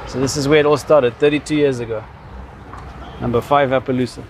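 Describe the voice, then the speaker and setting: A man's voice: mid-range voice, fairly even intonation, a little fast, noisy background. A man in his fifties with a Zimbabwean accent, telling personal stories in a casual, explanatory way as a vlogger. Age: 50s. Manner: reminiscing, explanatory. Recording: music behind the voice.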